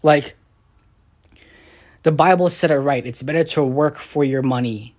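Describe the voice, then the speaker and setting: A young man, a streamer and rapper, ranting on a live stream in a rambling, profane, frustrated way. He drops into low mutters and then bursts out loud. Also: a little slow. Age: young adult. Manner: swings between low mutters and raised outbursts.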